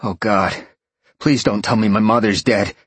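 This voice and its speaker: A man's voice: fairly low pitch, steady tone, moderate pace, breathy edge, dry recording, pitched above the performer's own voice. A man voicing a tense, hunted young man in an audiobook, blunt and urgent. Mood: nervous, alarmed.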